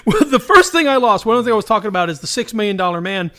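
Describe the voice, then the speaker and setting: A man's voice: high-pitched, very animated, racing, pushed and bright. A middle-aged man hosting a podcast, chatting casually with jokes and digressions. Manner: joking, digressive. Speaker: podcast host. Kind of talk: casual chat.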